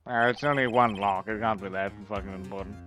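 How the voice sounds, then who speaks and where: A man's voice: on the low side, steady tone, fairly quick, a bit bright, soft background music, lightly acted. A man voicing a dry, formal, mildly exasperated prosecutor in a comedic game fan dub.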